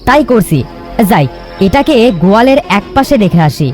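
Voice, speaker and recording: Woman as a cartoon village son: excitable and earnest, high voice, very animated swings, pleading delivery. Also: moderate pace, faint music behind. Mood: excited. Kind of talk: cartoon voice acting.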